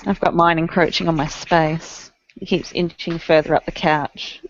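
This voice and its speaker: A woman's voice: low-pitched, steady tone, moderate pace, a little hiss or hum. A woman with an Australian accent chatting informally, a bit tentative, with phrases that trail off. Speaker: book discussion participant. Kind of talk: casual chat. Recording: dull, lo-fi internet call sound.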